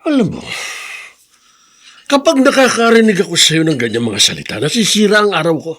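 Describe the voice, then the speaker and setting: A man's voice: high-pitched, sing-song, fairly quick, a bit bright, husky, exaggerated voice. An actor playing a bitter, gloating old grandfather in a radio drama, speaking in an emphatic, scornful way. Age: older.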